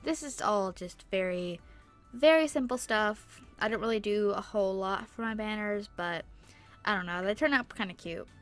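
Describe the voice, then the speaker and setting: A young woman with a stuffy nose and sore throat, rambling chattily through a casual tutorial voice-over on a boom-arm mic. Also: normal speed, soft background music. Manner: rambling, chatty, lots of fillers. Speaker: YouTuber. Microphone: microphone on a boom arm.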